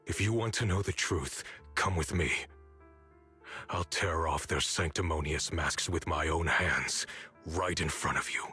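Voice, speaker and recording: A man's voice: a bass voice, unhurried, bright, forceful tone, husky, full character voice. An actor playing a bitter, resolute young man, an orphaned former disciple, in a dramatic video game with sardonic, accusing delivery. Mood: cold defiance. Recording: studio voice over background music. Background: music.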